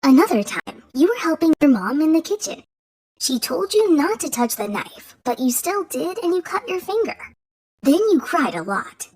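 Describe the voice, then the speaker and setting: A woman's voice: high voice, very animated, moderate pace, darkish tone, voice pushed up. A woman voicing a tiny, cheerful magical girl with a sweet, small voice who talks frankly and kindly. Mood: reassuring. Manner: chirpy and reassuring.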